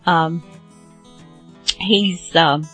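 Slow, rambling woman radio host. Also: fairly low pitch, fairly even intonation, fairly bright tone, slightly rough, faint music behind. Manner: rambling, halting, apologetic. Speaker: host of old radio drama show. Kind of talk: casual, unscripted show introduction.

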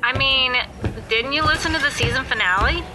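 A woman's voice: sits high, a little fast, music in the background, close to an everyday voice, poor audio. A woman playing a dramatic story narrator who addresses the listener in an audio comedy drama. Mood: arch, dramatic. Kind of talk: theatrical scripted narration.

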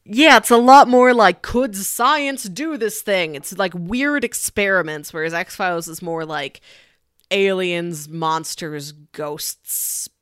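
A woman's voice: fairly low pitch, slow, fairly bright tone, clean tone, no echo, studio quality. A woman with an American accent chatting enthusiastically and emphatically as she recommends films as a podcast co-host. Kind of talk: casual chat, film recommendations.

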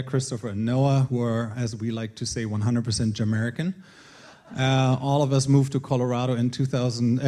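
A middle-aged man with a German accent speaks as a conference presenter. His voice sits at a medium pitch. He tells his personal story earnestly, with a light, self-deprecating humour. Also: darkish tone. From northern Germany. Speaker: conference speaker, games creative director. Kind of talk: personal talk to an audience.

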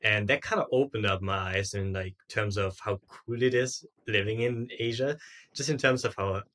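A young man chats as an interview guest. His voice sits slightly low but has a bright, forward edge. He tells his story loosely and informally, with plenty of conversational filler words. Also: moderate pace. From Austria. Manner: informal, frequent "like" and "sorta". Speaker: podcast guest, entrepreneur.

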